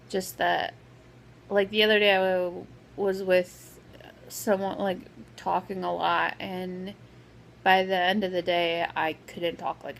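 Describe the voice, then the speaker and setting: A woman vlogger talking casually with a sore, scratchy throat, pausing often with lots of "um"s. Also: medium pitch, gravelly. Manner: hesitant, full of "um" fillers. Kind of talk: casual vlog update.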